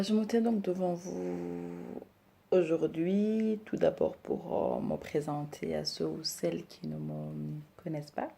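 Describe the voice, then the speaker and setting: A woman in her thirties, from Rwanda and raised in Belgium, introducing herself and her decor business, hesitant with many pauses. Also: on the low side, creaky, mellow. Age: 30s. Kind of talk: personal introduction to camera.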